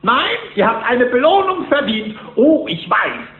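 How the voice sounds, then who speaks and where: Old rich cartoon duck uncle: very high, wildly swooping delivery. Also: male voice, sing-song, unhurried, exaggerated voice. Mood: gleeful, warm. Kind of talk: cartoon audio drama acting. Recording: dull, echoey cassette tape.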